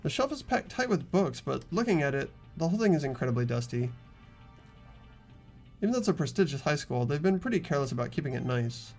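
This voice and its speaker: Creaky young man hosting a game playthrough. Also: a little high, very animated, fast talker, a little mellow, soft background music. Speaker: host of a group game playthrough. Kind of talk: reading game narration and casual commentary.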